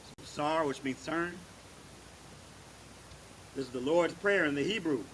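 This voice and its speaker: A man's voice: a little high, unhurried, rough recording. A man casually introducing a religious service, talking in a loose, repetitive way with many filler phrases. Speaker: congregation member hosting a religious service. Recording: steady background hiss.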